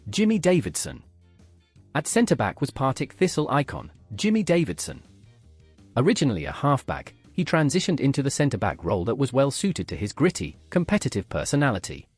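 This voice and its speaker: A man narrating a scripted football history piece in a measured way, letting each sentence fall at its end. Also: mid-range voice, huge pitch swings, a little mellow, slightly rough, no room sound, soft background music.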